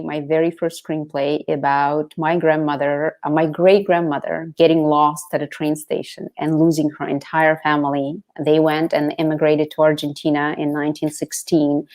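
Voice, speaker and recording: A middle-aged woman from the Soviet Union whose first language is Russian, telling stories as an interview guest in a chatty, animated way with many restarts. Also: low voice, moderate pace, hardly any pauses. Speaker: author guest. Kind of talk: casual interview storytelling.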